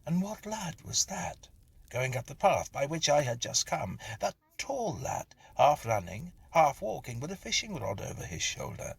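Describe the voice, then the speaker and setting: A man reading a Victorian ghost story as scripted narration, in a husky, breathy, hushed and dramatic storytelling manner. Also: fairly high pitch, sing-song, a little slow.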